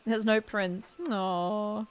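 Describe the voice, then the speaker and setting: A woman's voice: medium pitch, fairly even intonation, drawn-out words, soft background music. A woman streaming a game with casual live reactions, cooing and exclaiming as she follows along.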